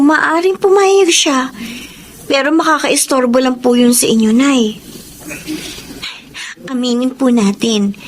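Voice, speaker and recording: A woman's voice: sits high, a little hiss or hum, poor audio. A woman playing a dutiful, respectful young granddaughter in an emotive Filipino radio drama, speaking politely with a Filipino accent.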